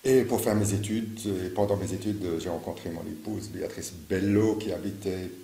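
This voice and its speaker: A man's voice: low-pitched, moderate pace, creaky, warm tone. A man in his fifties from Morocco, a local election candidate answering interview questions with many fillers and restarts. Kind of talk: campaign interview. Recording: echoey room with faint ambience.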